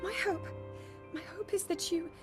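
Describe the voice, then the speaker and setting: High-voiced, earnest young princess. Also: female voice, steady tone, normal speed, over music. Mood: pleading. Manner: formal, careful diction. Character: young princess, earnest, anguished, dutiful and scholarly. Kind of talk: dramatic video game cutscene acting.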